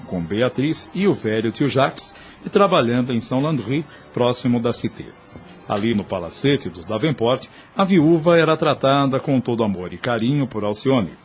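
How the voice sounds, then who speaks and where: A man narrating an audio drama from a script in a measured, literary way. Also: mid-range voice, darkish tone, slight background noise.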